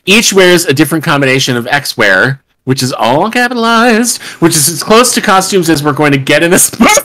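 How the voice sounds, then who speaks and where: Guy reading a script on a video call, bright highish voice, really animated, jokey and sweary. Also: a little fast. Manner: irreverent, sweary, breaks into laughter. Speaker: podcast host. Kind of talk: live comedic script table read with banter. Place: home room on a group video call.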